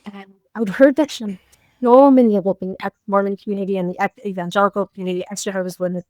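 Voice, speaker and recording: A woman in her fifties hosts a podcast, talking earnestly in a middle-pitched voice. Her words come across clearly in a dry, close recording. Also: fairly even intonation, fast, mellow, no room sound. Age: 50s. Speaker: podcast host. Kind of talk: casual conversation.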